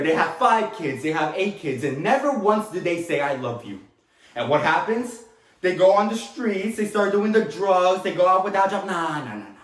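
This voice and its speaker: A chatty immigrant dad with a Latin American Spanish accent in an echoey room. His slightly high voice swoops expressively as he rambles and halts through fond stage-monologue reminiscence. He is tender and talkative, a native Spanish speaker. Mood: insistent, tender. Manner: animated, rambling, halting, with small chuckles. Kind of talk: dramatic monologue, stage acting.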